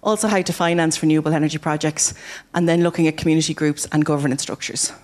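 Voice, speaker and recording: Energy agency person rattling off grant details, fast and flat. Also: medium pitch. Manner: brisk, explanatory. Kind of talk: explaining grants in an interview.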